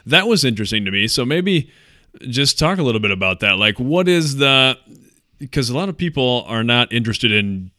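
Man, bright forward voice, dry close sound, casual podcast banter, jokey and self-deprecating. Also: medium pitch, big pitch swings, fairly quick, no room sound. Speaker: podcast co-host. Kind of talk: casual comedic chat.

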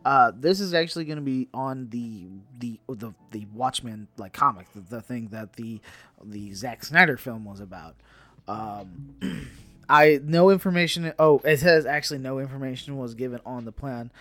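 A man's voice: medium pitch, normal speed, a bit bright, no echo. Male co-host, mid-range voice, casual nerdy news chat, emphatic and repetitive. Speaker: podcast co-host.

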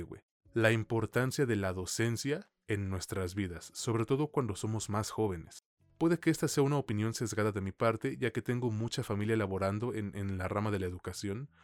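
A man's voice: lowish voice, no echo. A man with a Mexican accent hosting a film review podcast in a colloquial, slangy way. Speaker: podcast host.

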